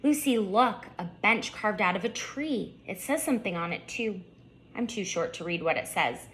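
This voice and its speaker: A woman voicing a lively, wise male pet turtle in a storybook, gently encouraging and full of wonder. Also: mid-range voice, moderate pace, a little hiss or hum, close to an everyday voice. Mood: gently inviting.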